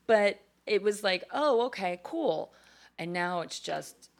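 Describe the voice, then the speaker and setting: A woman's voice: mid-range voice, lively intonation, slow, gravelly. A woman co-hosting a casual chat show, talkative, swearing now and then and laughing easily.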